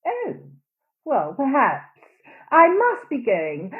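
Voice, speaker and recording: Woman acting nosy catty society lady, slow n arch, big swoopy pitch, radio play, tinny phone sound. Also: wildly swooping pitch, heavily acted. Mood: catty, gushing. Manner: affected, arch, drawn-out exaggerated delivery. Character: prying, gushing, catty society woman acquaintance.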